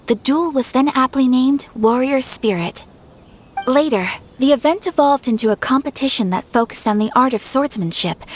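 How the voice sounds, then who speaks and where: A woman playing a gracious young noble lady in an anime game, formal, courteous and measured. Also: fairly high pitch, slight background noise, close to an everyday voice. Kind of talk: anime game character acting, exposition.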